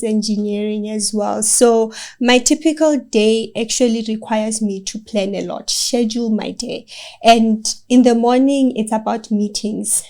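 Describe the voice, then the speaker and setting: Mellow woman engineer. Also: on the high side. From South Africa. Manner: earnest, encouraging, gives direct advice. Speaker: project engineer, guest. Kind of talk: interview answers.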